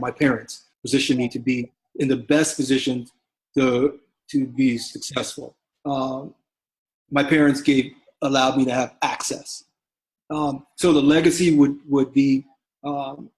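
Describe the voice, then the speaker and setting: Middle-aged father, interview guest; stumbling, sincere delivery, average pitch. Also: fairly even intonation, low-quality recording. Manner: halting, many restarts and fillers, earnest. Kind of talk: reflective conversational interview.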